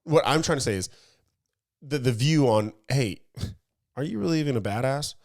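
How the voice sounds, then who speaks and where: A man's voice: mid-range voice, animated, normal speed, darkish tone. A man co-hosting a casual talk podcast, explaining through analogies and asking his partner leading questions. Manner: talks in analogies, asks leading questions, frequent restarts. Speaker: podcast co-host. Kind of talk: casual chat.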